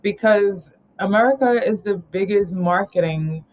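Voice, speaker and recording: A woman's voice: medium pitch, unhurried, a little mellow. A woman from New York talking casually as an interview guest, rambling and laughing often. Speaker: guest, travel company founder.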